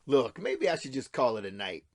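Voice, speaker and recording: A man's voice: mid-range voice, huge pitch swings, moderate pace. An actor playing a crude, menacing middle-aged father in an audio play, with swaggering, goading macho talk. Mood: wry, defensive. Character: menacing, crude middle-aged father, estranged husband, needling his son.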